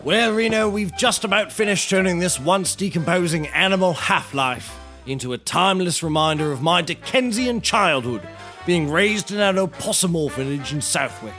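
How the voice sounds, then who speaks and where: High, swooping, grandiose eccentric. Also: male voice, expressive, normal speed, bright, forceful tone, faint music behind. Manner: theatrical, florid phrasing. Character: grandiose, genial eccentric male landlord and amateur taxidermist. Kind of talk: scripted audio comedy acting.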